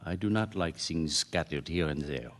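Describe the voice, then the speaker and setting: A man's voice: a bass voice, moderate pace. An actor playing a precise, earnest Frenchman in a radio mystery, with a French accent and careful, hesitant English. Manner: formal, careful wording, hesitant searching for English words. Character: tidy, precise Frenchman, former soldier, earnest and puzzled. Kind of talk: radio mystery drama acting.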